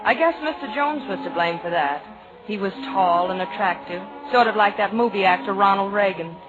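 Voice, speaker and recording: A woman's voice: mid-range voice, moderate pace, over music, close to an everyday voice. A woman playing a romantic, slightly flustered young heroine in a 1940s radio drama, with a conversational, hesitant manner. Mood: wry, confiding. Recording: dull vintage radio broadcast.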